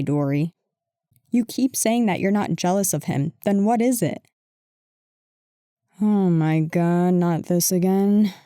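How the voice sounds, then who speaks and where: A woman acts out a bickering but affectionate girlfriend in an intimate audio drama. Her voice is mid-pitched and mellow, with slow, conversational delivery and a sarcastic, defensive edge. The recording is very dry and close. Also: no room sound, natural voice. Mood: exasperated, defensive. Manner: conversational, exasperated, sarcastic, addressing an unheard partner. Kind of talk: intimate audio roleplay acting.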